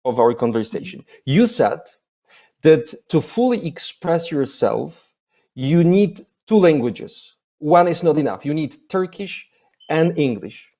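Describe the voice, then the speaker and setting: A man with a Polish accent leads a formal festival interview on a video call. His voice is mellow and rather dark. He pauses often and fills the gaps with "uh". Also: on the high side, big pitch swings. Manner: hesitant, many filler sounds. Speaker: festival program director, interviewer.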